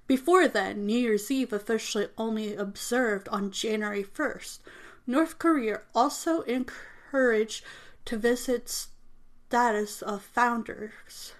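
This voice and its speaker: A woman's voice: a little high, slow pace. A woman whose first language is not English, reading an informational text aloud with frequent stumbles and self-corrections. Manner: apologetic about mispronouncing names. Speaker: reader presenting a text.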